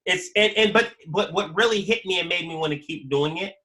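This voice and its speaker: Man, forties, American, fairly high voice, sharp forceful tone, slangy, emphatic, conversational storytelling. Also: a little fast. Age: 40s. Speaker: guest, YouTube creator telling his life story.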